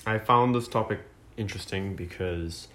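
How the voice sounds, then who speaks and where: A man's voice: low voice, moderate pace, bright, forceful tone. A man in his twenties hosting a casual podcast chat, talking with many fillers and restarts. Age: 20s. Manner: hesitant, lots of fillers and restarts. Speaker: podcast host.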